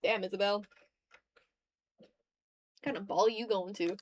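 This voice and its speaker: A woman's voice: mid-range voice, fairly even intonation, unhurried. A woman chatting casually on a livestream as she draws, slangy and playful, talking half to herself and half to viewers. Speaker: streamer, digital artist. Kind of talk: casual livestream chat while drawing.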